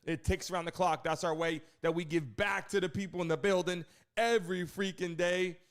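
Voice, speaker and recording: A man's voice: sits high, steady tone, a little fast, clean tone. A man hosting a live sports stream, hyping viewers in repetitive, slangy, chatty bursts. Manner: repetitive hype talk with slangy catchphrases. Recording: loud broadcast audio playing behind voice. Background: sports broadcast and ads with music.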